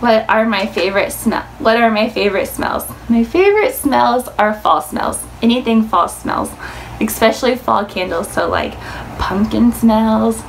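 A woman's voice: a little high, animated, measured pace, rough recording. An American woman in her twenties chatting informally to camera as a vlogger, saying "like" often. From Indiana, United States. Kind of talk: casual chat to camera. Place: small room indoors, plain wall. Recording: clearly audible background room noise.

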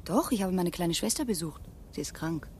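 Wary village wife, very varied pitch, radio-play crime drama with slight rumbling noise. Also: female voice, expressive, moderate pace, darkish tone.

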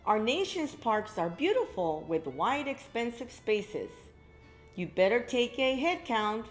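A middle-aged woman reads a picture book to young children. Her pace is slow and her pitch swings in lively, expressive arcs, with exclaimed asides to the listeners. Faint music can be heard. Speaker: librarian reading at children's story time. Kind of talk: read-aloud storytelling for young children. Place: indoor library room with bookshelves.

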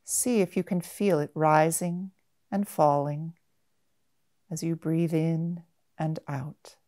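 A woman guiding a meditation in a gentle, measured manner, with long pauses between short phrases. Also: low-pitched, steady tone. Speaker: mindfulness meditation guide. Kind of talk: guided body-scan meditation.

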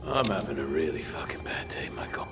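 Worn-out male survivor, exasperated firefighter, gravelly, lively pitch, game dialogue. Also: fairly quick, full character voice. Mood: weary, pained. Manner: swearing, exasperated. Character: weary, irritable firefighter and crash survivor. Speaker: player character in a zombie game. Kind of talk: video game voice acting. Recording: faint background noise and game ambience.

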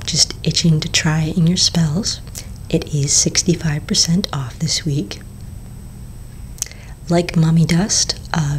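A woman in her thirties whispering softly and slowly with hesitant fillers, playing a potion shopkeeper in an ASMR roleplay, recorded close to the mic in a small room. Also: low-pitched, a little hiss or hum. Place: small indoor room before shelves of bottles. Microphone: close studio mic in front.

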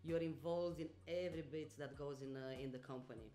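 A woman's voice: deep voice, fairly even intonation, a little fast, warm tone, echoey room, faint music behind. A young woman from Romania, speaking enthusiastically about her job in an office interview. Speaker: team executive. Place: office, seated indoors.

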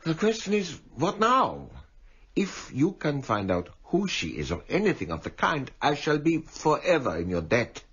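An actor playing a busy, courteous hospital doctor in a radio drama, with a formal, brisk manner. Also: male voice, a little high, wildly swooping pitch, a bit bright, husky, exaggerated voice. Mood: businesslike. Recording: slightly dull radio recording.

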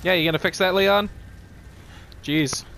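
A man's voice: high-pitched, fairly even intonation, a little slow, gravelly, background noise. A man doing casual let's play commentary on a video game, swearing and tossing out jokey asides to himself.

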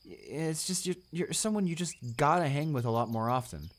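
A man's voice: on the high side, lively intonation, moderate pace, slight background noise, lightly acted. A man playing a shy, kind-hearted male student in a romantic audio roleplay, hesitant, stammering and apologetic. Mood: nervous, earnest.

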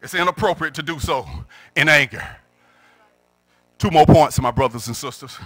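A man's voice: fairly high pitch, normal speed, no room sound. A middle-aged American man preaching an impassioned sermon as a pastor, emphatic and exhorting at a pulpit microphone. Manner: emphatic, exhorting, often rising into shouts. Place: church sanctuary, at the pulpit. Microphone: gooseneck pulpit microphone.

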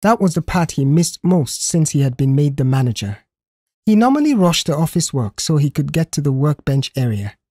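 A woman's voice: deep voice, very animated, moderate pace, dark tone, husky, dry recording, clean studio sound. A woman reading a scripted audio story as its narrator.

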